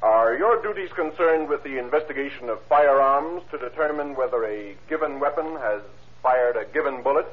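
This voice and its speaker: A man's voice: medium pitch, natural voice. Methodical prosecutor played by a man, muffled old radio. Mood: formal, probing. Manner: crisp, formal, deliberate questioning. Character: formal, methodical prosecutor questioning witnesses in court. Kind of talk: 1930s radio acting.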